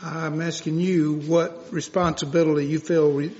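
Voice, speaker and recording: Raspy board member, deliberate questioner, higher-pitched male, lo-fi. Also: little pitch movement, a little mellow. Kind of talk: public hearing questioning. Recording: lo-fi hearing recording with faint noise.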